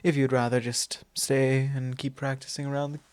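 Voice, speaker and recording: A man voicing a doting, possessive vampire lord in an ASMR roleplay, with soft, genteel phrasing that slips into breathy whispers, close on the mic. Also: medium pitch, level tone, dry recording, natural voice. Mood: courteous, inviting. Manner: soft, drawn-out, genteel old-fashioned phrasing. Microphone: close microphone.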